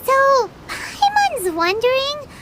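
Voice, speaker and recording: A woman voicing a small, childlike fairy companion in a video game, chirpy, perky and inquisitive, with a squeaky voice. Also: sing-song, slow pace, very noisy, exaggerated voice. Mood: perky, curious. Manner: perky, inquisitive, speaks of herself in third person. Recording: music behind the voice.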